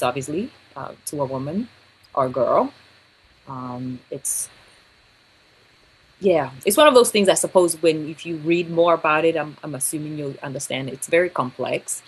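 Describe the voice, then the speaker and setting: A woman from Kenya explaining things in a discussion, thinking aloud in a halting way. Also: low voice, husky, rough recording.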